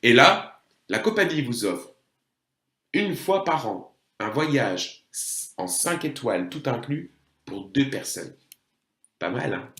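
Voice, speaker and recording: A French man delivers a marketing presentation in a roomy, reverberant recording. His voice sits at an average pitch. He explains things step by step with salesy emphasis and checks in with rhetorical questions. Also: very animated, slow pace, long pauses, a bit bright.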